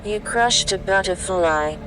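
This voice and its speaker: A woman's voice: mid-range voice, slow pace, lightly acted, rough recording. Woman as a cool, detached machine intelligence. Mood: cool, official. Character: cool, logical machine intelligence, rule-bound and superior. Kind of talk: audio fiction dialogue.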